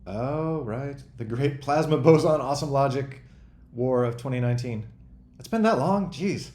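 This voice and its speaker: A man in his forties chatting casually as a host, rambling and thinking out loud in a self-deprecating way. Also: medium pitch, moderate pace, echoey room. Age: 40s. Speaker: host and game developer answering chat questions. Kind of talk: casual freeform chat.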